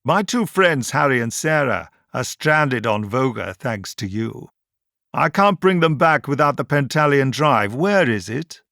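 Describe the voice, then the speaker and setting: A man's voice: medium pitch, animated, creaky, a bit bright, dry recording, clean studio sound, pitched above the performer's own voice. Man playing a solemn, probing doctor hero. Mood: accusing. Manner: deliberate, emphatic, accusing.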